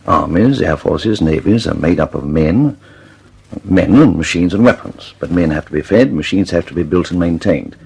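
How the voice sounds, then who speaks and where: Earnest, gravelly aircraft designer. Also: male voice, sits low, expressive, normal speed, darkish tone, full character voice. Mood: earnest, insistent. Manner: hesitant, musing, then methodically insistent. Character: earnest, preoccupied male British aircraft designer, thoughtful and dogged. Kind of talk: mid-century radio drama acting.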